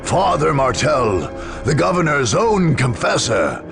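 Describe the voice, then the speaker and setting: A man's voice: fairly high pitch, big pitch swings, a little slow, gravelly, music in the background. Dramatic male game narrator with sweeping intonation. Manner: solemn, formal storytelling delivery.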